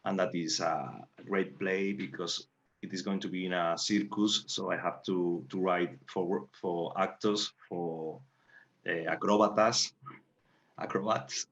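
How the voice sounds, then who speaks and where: A man with a Spanish accent talking on a panel in halting English, searching for words. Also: sits low, fairly even intonation, a bit bright, muffled recording. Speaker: playwright, panel guest. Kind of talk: unscripted panel talk.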